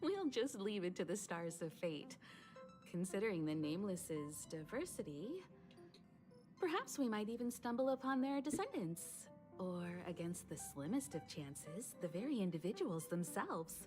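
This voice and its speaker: A woman's voice: medium pitch, sing-song, close to an everyday voice. Composed, lilting woman navigator over music acted. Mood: calm, knowing. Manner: measured, formal, gently musing. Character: composed, wise adult woman navigator, gracious and knowing. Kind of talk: video game voice acting. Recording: game dialogue over music.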